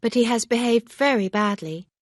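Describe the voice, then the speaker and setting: A woman's voice: a little high, normal speed, no room sound, studio quality, voice pushed up, close to an everyday voice. A woman reading an audiobook, voicing a proud, earnest young woman who speaks with firm emphasis.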